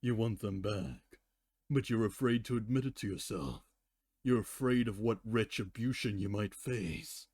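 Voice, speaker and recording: A man's voice: on the low side, unhurried, darkish tone, breathy edge, no room sound, studio quality. A man who streams, reading fiction dramatically and bantering casually with teasing, foul-mouthed talk.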